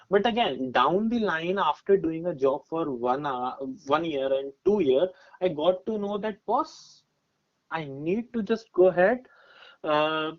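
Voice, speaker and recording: Male, twenties, Indian accent. Fairly high-pitched, emphatic podcast guest talking through advice with personal examples; dull recording. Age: 20s. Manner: emphatic, explanatory, often checking with "right?". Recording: dull, muffled lo-fi audio.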